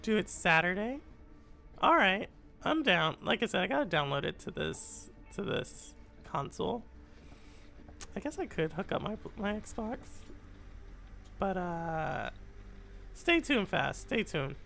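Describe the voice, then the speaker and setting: A game streamer with a raspy, congested voice that has a gravelly edge and a bright, sharp tone. The talk is chatty, and they keep muttering to themselves while they search the screen. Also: mid-range voice, very animated, slow pace, long pauses, faint music behind. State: congested from a cold. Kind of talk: live gameplay stream with chat.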